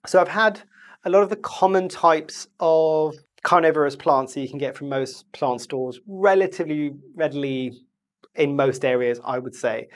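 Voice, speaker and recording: A plant vlogger with a blocked, stuffy nose, rambling casually and correcting themselves as they go. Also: mid-range voice. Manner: rambling, self-correcting, friendly asides. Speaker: houseplant video host. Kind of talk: casual chatty plant review.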